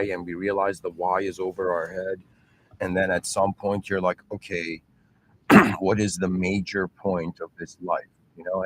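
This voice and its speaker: A man in his thirties with a deep, low-pitched voice muses aloud in a searching, earnest way. He often restarts his sentences and clears his throat, and keeps checking that his listeners follow. A faint vehicle rumble hums behind him. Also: low-quality recording. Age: 30s. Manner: rambling, searching, many fillers and restarts; earnest, addresses listeners directly. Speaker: channel host. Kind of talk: reflective philosophical monologue.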